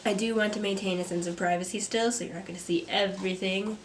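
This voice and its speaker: A young woman, a mother and artist, talking to camera from a script in a soft, reflective vlog; she sounds stuffy and congested. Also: mid-range voice, fairly even intonation, fast talker, a little hiss or hum. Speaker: vlogger, artist. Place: home. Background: kids playing.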